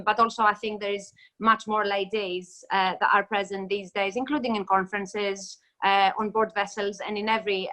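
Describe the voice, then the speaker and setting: A woman's voice: medium pitch, pushed and bright, poor audio. A woman in her thirties from Greece, a lawyer on a video-call panel, talking hesitantly with many fillers and restarts. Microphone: laptop webcam mic, video call.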